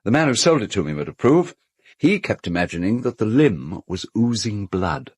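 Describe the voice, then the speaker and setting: Suave crime-relic collector, animated intonation, husky, dry close sound, radio drama. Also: male voice, on the low side, normal speed, dry recording. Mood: urbane relish. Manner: urbane, hesitant asides.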